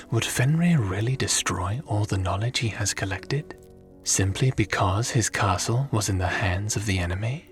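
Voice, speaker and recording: A man's voice: on the low side, lightly acted. A man playing a courteous, scholarly traveling sage in a fantasy audio roleplay, speaking formally and thoughtfully. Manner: polite, pondering, formal.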